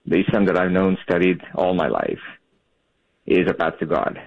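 A man's voice: low voice, expressive, moderate pace, gravelly. A man from Bangladesh calling in to a radio show, speaking earnestly over a muffled phone line. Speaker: caller. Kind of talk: phone-in call.

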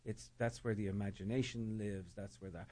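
Thoughtful middle-aged man, lowish voice. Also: steady tone, moderate pace, warm tone. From Ireland. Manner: thoughtful, repeats words while searching for them. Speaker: novelist guest. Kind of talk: reflective interview talk.

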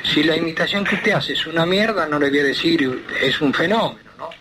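A man's voice: fairly high pitch, lively intonation, brisk. A man with a Rioplatense Argentine accent in a casual phone conversation, rambling and hesitant in his phrasing. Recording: noisy phone call.

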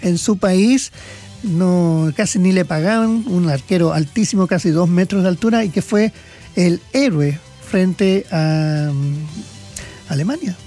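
A man chatting casually about football results on a talk show, rambling with fillers and pauses. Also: sits high, mellow, faint music behind. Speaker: sports commentator on a talk show.

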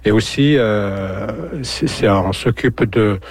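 A man's voice: fairly low pitch, takes it slowly, breathy edge, no room sound. Hesitant man from Algeria, dry sound. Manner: hesitant, many fillers and repeated words. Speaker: radio journalist, guest. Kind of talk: radio interview.

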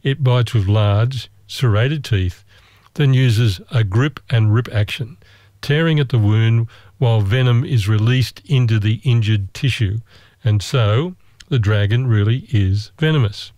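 A man telling a travel story in a wry, self-mocking, measured way. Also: mid-range voice, darkish tone, dry recording, studio quality. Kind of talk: casual storytelling.

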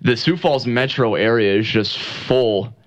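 A man working as a play-by-play broadcaster, speaking in a casual radio interview. He talks in a halting way, repeats phrases and laughs easily. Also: medium pitch, no room sound. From Upper Midwest, United States. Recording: dull lo-fi radio studio audio.

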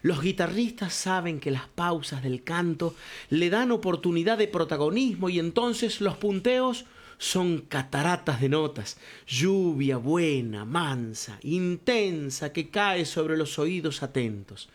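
Older man, high voice, storytelling radio columnist who says compadre. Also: expressive, takes it slowly. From Cuyo, Argentina. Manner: warm storytelling, addresses listener as compadre. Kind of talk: reflective spoken radio column.

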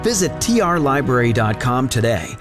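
A man's voice: medium pitch, sing-song, moderate pace. A man reading a scripted radio advertisement in a polished promotional voice. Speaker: announcer. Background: music.

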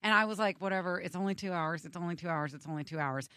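An American woman, young adult, telling an animated, exasperated comic story in casual chat. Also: fairly low pitch, fast talker, no echo, clean studio sound. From United States. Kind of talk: casual storytelling on a radio show.

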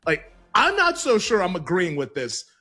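A man hosting a sports betting show, talking quickly with lots of fillers and frequent laughs. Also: high voice, breathy edge, faint music behind. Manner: quick, excitable, heavy on "like", laughs often. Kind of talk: casual sports betting chat.

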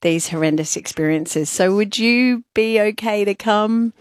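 A woman's voice: mid-range voice, unhurried, no room sound, clean studio sound. A woman hosting a radio show, putting questions to guests in a conversational interview style. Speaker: radio host.

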